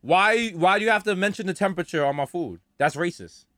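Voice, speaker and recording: A man's voice: brisk, no room sound, clean studio sound. A young man co-hosting a casual comedy podcast, riffing jokes and slipping into mock voices. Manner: riffing, mock voices, lively swings in pitch. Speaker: podcast co-host.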